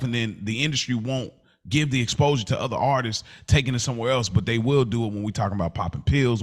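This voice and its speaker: An American man co-hosting a podcast, chatting casually in a slangy, reflective and candid way. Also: medium pitch. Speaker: podcast co-host. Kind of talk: casual conversation.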